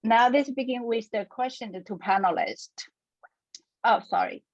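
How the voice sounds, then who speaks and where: A woman's voice: fairly high pitch, measured pace, no echo. Woman, panel moderator, careful with small restarts, muffled dry sound, video call. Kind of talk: introducing panelists on a webinar.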